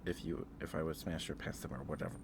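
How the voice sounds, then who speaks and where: A man hosting an ASMR livestream, chatting casually in a halting, rambling way with pauses and repetitions. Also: extremely low pitch, fairly even intonation, noisy background. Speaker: livestream host.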